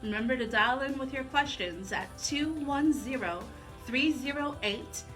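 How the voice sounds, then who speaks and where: An American woman co-hosts live talk radio in a crisp, very bright voice. She pitches fairly high, and faint music sits behind her. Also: measured pace, low-quality recording. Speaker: radio co-host.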